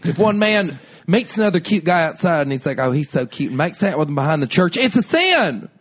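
Pompous fiery Southern preacher, played by a man, high, booming delivery. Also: big pitch swings, brisk. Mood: preaching. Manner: booming preacher sing-song.

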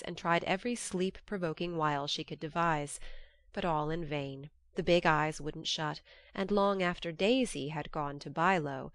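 Audiobook narrator, woman: lowish pitch, scripted literary storytelling, dry close sound. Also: no echo.

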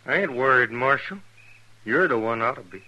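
A man's voice: mid-range voice, lively intonation, moderate pace, bright, forceful tone, muffled recording. A man playing a weary, defiant army scout on the run in a 1950s radio western, terse and brooding, with rustic speech. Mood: guarded, defiant. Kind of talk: 1950s radio drama acting. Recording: noisy vintage 1950s radio broadcast recording.

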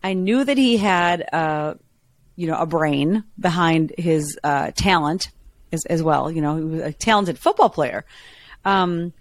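A woman with a low voice co-hosting a podcast, telling stories in a lively, chatty way. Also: measured pace, dry recording. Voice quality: lower voice. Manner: chatty, animated storytelling. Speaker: podcast co-host. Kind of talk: casual chat.

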